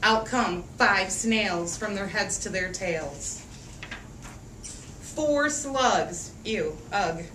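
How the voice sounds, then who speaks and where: A woman teacher reading a picture book aloud to young children, expressive and rhythmic, drawing out phrases and asking them questions. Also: medium pitch, extremely slow. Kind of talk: interactive storybook read-aloud. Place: echoey room with a group of children. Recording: echoey room, distant mic, faint noise.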